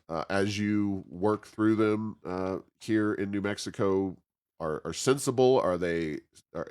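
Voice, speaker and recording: A man conducting a conversational interview, asking questions in a halting, hesitant way. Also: on the low side, clean tone. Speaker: podcast interviewer.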